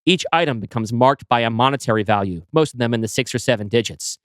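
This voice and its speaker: A man reading his screenplay aloud, with brisk scene-setting narration. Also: mid-range voice, no room sound, studio quality. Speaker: writer pitching a screenplay.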